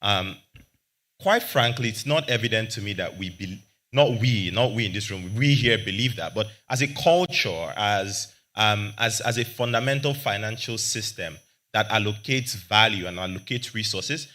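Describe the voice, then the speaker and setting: A young man, arguing confidently and emphatically as a panelist, speaking into a handheld microphone with a loud voice. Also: on the low side, moderate pace. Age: young adult. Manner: confident, emphatic, argumentative, says he has a loud voice. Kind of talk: panel discussion remarks.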